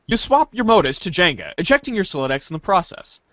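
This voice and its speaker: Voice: mid-range voice, very animated, quick pace, fairly bright tone, no echo. A podcast host doing a playful, theatrical group reading of a webcomic script. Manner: playful, theatrical page-change calls.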